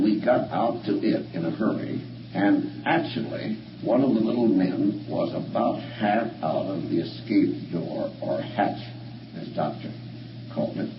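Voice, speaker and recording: A man giving a measured, formal, detailed account as if in a recorded lecture. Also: on the low side, distant mic. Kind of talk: recounting a story in a recorded lecture. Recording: muffled, noisy, echoey archival recording from afar.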